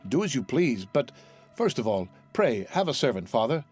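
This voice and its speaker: A man's voice: medium pitch, big pitch swings, moderate pace, darkish tone, slightly rough, faint music behind. A man voicing an earnest, devoted young sailor in a storybook, speaking with ardent courtesy. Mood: eager, caring.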